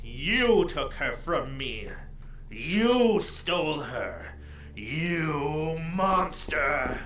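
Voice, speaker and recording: A woman's voice: sits low, sing-song, fairly bright tone, reverberant, a little hiss or hum, full character voice. A woman playing a grief-stricken, vengeful supernatural fighter in an audio drama, commanding and half-singing. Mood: grieving fury. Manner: slow, sung, incantatory delivery. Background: music.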